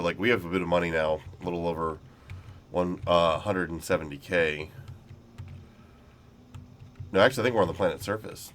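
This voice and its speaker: A man's voice: sits low, slight background noise. A man hosting casual gameplay commentary in an easygoing, rambling way, sounding a little nasal from being sick. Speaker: gaming channel host.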